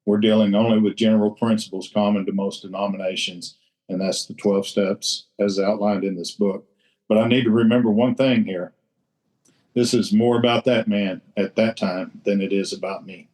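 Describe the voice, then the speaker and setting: Brief: salty, emphatic man with a low voice, sharing in a recovery group. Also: fairly even intonation, normal speed, darkish tone, rough recording. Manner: blunt and salty, swears freely; emphatic, repeats for effect. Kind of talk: casual share in a recovery roundtable.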